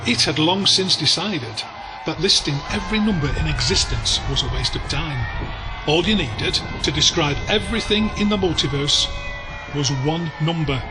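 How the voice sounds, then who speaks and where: An actor playing a pompous, absurdly dramatic narrator in a sci-fi audio drama, declaiming every phrase. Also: male voice, a little high, expressive, normal speed, natural voice, rough recording. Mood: portentous. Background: music and crowd effects.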